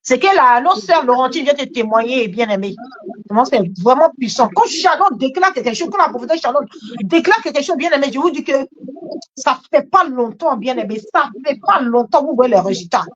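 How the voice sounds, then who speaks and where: High-voiced woman exhorting a congregation. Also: lively intonation, brisk, highs cut off. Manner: emphatic, repeats phrases. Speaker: church host and exhorter. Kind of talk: animated charismatic church exhortation.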